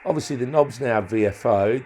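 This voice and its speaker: A man giving a casual, unscripted walkthrough as a hobbyist presenter, thinking aloud in a halting way. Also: medium pitch, darkish tone, slight background noise. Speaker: hobbyist presenter demonstrating a modified radio.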